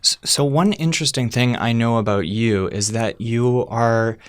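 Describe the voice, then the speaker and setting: A man's voice: mid-range voice, measured pace, clean tone, dry recording, studio quality. A man hosting an interview, asking questions in a hesitant way full of fillers and restarts. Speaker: interviewer, podcast host. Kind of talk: casual interview chat.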